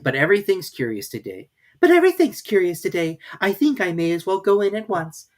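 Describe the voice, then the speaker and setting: A woman's voice: lowish voice, very animated, a little fast, darkish tone, voice pushed up. A woman voicing a polite but easily offended young girl in a storybook, questioning in a careful, prim way. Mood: indignant, curious.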